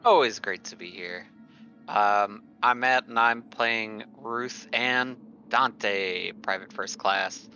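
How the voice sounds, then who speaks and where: A man's voice: fairly low pitch, takes it slowly, pushed and bright, faint music behind. A man talking casually as a player in a tabletop game. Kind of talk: casual chat. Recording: dull lo-fi sound, faint noise.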